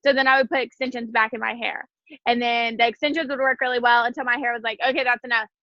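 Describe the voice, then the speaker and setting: A woman's voice: a little high, steady tone, fast talker, no room sound, lo-fi sound. A woman in her twenties, slightly stuffy from sneezing, chatting casually about her story on a video call from outdoors. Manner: chatty, giggly, many fillers. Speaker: guest speaker. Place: outdoors in the wind.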